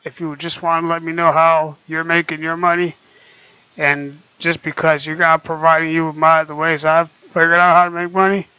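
A young man talking quietly and hesitantly with many fillers, recorded on his laptop microphone at home. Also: a little high, clear, resonant tone, dry recording. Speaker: vlogger. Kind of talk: casual screen-recorded talk. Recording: dull lo-fi laptop mic.

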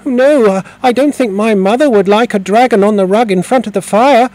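A man voicing a high, earnest little boy. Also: moderate pace, darkish tone, close to an everyday voice, low-quality recording. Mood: doubtful. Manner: hesitant, stammering and earnest. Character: polite, curious young boy.

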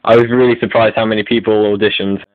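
A man's voice: on the low side, little pitch movement, quick pace, no echo. A man hosting an online video update, reading out announcements in a scripted style. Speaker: video creator and host. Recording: phone-like, narrow lo-fi sound.

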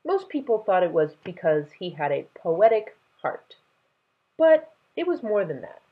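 Woman doing a regal kindly storybook king, measured but animated, husky, dull webcam audio. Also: medium pitch, full character voice. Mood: explaining. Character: kindly, regal male king telling family history.

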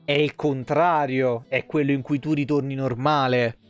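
A man in his thirties with a Roman Italian accent reads game lore aloud on a live stream, expressive and informal, dropping into casual, sweary commentary. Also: medium pitch, normal speed, muffled recording. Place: indoor room, home streaming setup. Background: background music with guitar.

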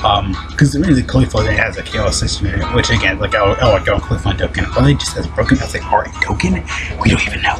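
A deep-voiced man talks fast and loosely through game news, piling up fillers and restarts. His low voice sits over clear background music. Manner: rapid, rambling talk with many fillers and restarts. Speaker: gaming video host. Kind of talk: casual game news commentary.

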